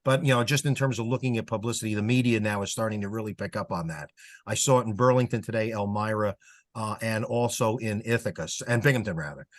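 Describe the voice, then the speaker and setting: A man's voice: on the low side, quick pace, no echo. A man giving a casual, conversational report in an online club meeting. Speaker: club publicity volunteer. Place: online video call.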